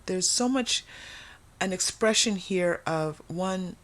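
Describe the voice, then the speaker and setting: A woman's voice: on the low side, takes it slowly, fairly bright tone. A woman giving a casual, intuitive tarot reading to viewers, thinking aloud conversationally and repeating phrases for emphasis. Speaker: tarot reader and host.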